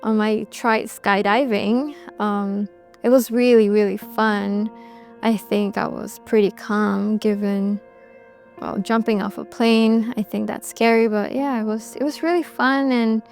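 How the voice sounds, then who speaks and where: A woman's voice: medium pitch, a little slow, gravelly, faint music behind. Reflective young woman. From Bangladesh, raised in Singapore. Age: 20s. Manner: relaxed, reflective, with frequent ums and restarts. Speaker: documentary subject. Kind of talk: interview recollection.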